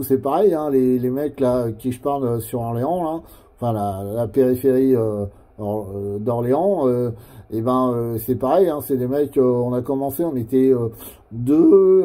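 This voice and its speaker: A man's voice: medium pitch, dark tone. A man with a French accent chatting casually over amateur radio, explaining things in a rambling, hesitant way. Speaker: amateur CB radio operator who makes videos.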